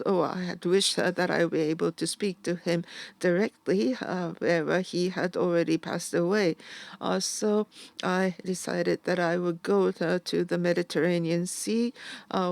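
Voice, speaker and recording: Interpreter at a museum talk, very slow and halting, heavy vocal fry. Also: mid-range voice, darkish tone, no room sound. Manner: halting, with an "uh" before nearly every phrase.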